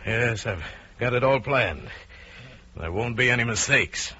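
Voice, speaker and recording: An actor playing a married man coolly plotting murder, narrating and acting in a measured, deliberate mid-century radio crime drama style. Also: medium pitch, expressive, pushed and bright. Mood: assured, intimate. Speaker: narrator and lead character. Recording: dull old radio recording, music underneath.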